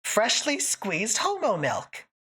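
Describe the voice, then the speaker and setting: A woman's voice: mid-range voice, wildly swooping pitch, takes it slowly, pushed and bright, breathy edge. A woman from northern Canada hosting a livestream, chatting with viewers in a thick accent, joking and laughing often. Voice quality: thick put-on-sounding accent. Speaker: livestream host. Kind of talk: casual livestream chat answering viewers.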